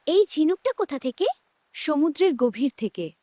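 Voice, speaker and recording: A woman's voice: high voice, big pitch swings, moderate pace, dark tone, no room sound. A woman voicing a curious youngster in a scripted children's cartoon, speaking in short, wondering lines. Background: music.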